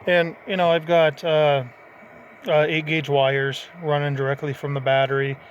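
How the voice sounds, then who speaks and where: Higher-voiced man, folksy and casual, noisy recording. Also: flat delivery, normal speed, dull audio. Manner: folksy, informal, thinking aloud. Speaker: hobbyist vlogger demonstrating a CB radio. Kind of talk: casual hands-on demonstration. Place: in or beside a parked vehicle. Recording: clearly noisy handheld amateur recording.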